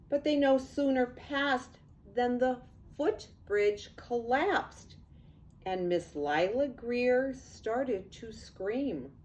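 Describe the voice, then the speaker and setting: An older woman, a grandmother, reads a picture book aloud to young children in an expressive, unhurried way with casual asides. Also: on the high side, poor audio. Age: 60s or older.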